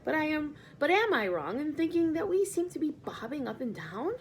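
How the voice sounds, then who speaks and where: A woman's voice: high-pitched, very animated, moderate pace, pitched above the performer's own voice. A woman voicing a polite, timid female ladybug in a storybook reading. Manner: polite, soft murmur.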